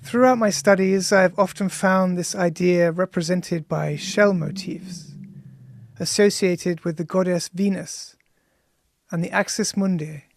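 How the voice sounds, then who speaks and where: A man reading his own book as scripted audiobook narration in a studio, measured and contemplative, with deliberate pauses. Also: sits high, fairly even intonation, vocal fry, no echo. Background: music.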